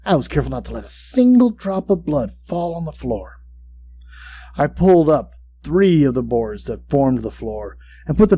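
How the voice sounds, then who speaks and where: Over a humming, noisy recording, a mad murderer played by a man tells his gothic horror story in a mid-pitched voice. He is tense, obsessive and boastful, speaking with theatrical deliberation and swinging between hushed menace and outburst. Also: close to an everyday voice. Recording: clear background hum and noise.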